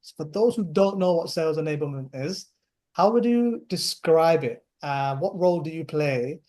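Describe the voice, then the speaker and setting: A man's voice: on the high side, lively intonation, moderate pace, dark tone. A man hosting a webinar fireside chat, who often repeats words and makes light jokes as he introduces his guest. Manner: stumbling, repeats words, jokey. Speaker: host.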